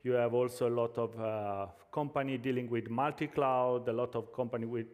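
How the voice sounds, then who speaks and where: A man's voice: mid-range voice, steady tone, moderate pace, darkish tone. Italian-accented man presenting in a hall. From Italy. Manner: hesitant, frequent fillers and restarts. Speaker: conference speaker. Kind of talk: tech conference presentation. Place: large conference hall.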